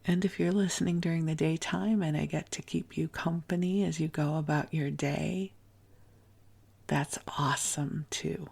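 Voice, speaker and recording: A woman hosting a sleep podcast in a soft, hushed, soothing and gently rambling tone, deliberately lulling. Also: deep voice, steady tone. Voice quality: soft, hushed, breathy at phrase ends. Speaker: sleep podcast host and spiritual teacher.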